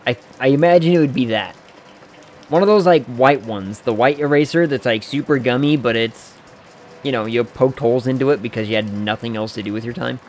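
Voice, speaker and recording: A man's voice: medium pitch, a little fast, darkish tone, slight background noise. A man streaming a video game, rambling and joking in asides to his viewers. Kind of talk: casual let's-play commentary.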